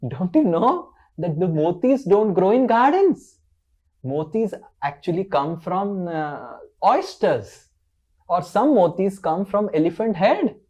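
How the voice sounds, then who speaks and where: Storyteller playing a high-voiced, gently scolding mother. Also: very animated, slow. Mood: fond questioning. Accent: Indian.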